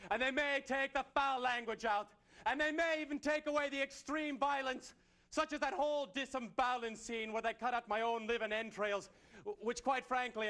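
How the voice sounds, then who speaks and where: An actor playing a hot-tempered Scottish warrior rebel in a comedy sketch, declaiming theatrically with a Scottish accent. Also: male voice, very high-pitched, pushed and bright. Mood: solemn. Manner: declamatory, theatrical, swinging into bellows. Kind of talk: mock-heroic rallying speech in live sketch comedy.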